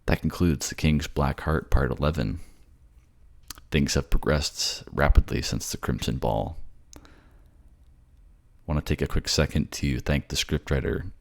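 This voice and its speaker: Very deep, creaky-voiced man. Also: measured pace, pauses often. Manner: hesitant, polite, appreciative. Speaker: voice actor, channel host. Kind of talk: casual sign-off to listeners.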